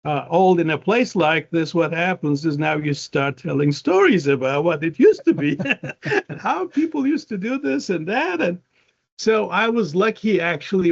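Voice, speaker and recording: A man's voice: a little high, gravelly, highs cut off. Turkish-accented man, rambling with fillers. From Turkey. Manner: rambling, many fillers and restarts, laughs often. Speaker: guest, engineering professor. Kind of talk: casual interview conversation.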